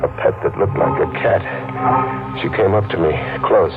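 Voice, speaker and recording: An actor playing a frantic man on the run who narrates his own story, in 1940s radio drama style over loud music on an old tinny recording. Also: sits low, normal speed, natural voice. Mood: tense. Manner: tense, breathless noir narration. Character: hunted man on the run, frantic and hard-boiled. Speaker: narrator and lead.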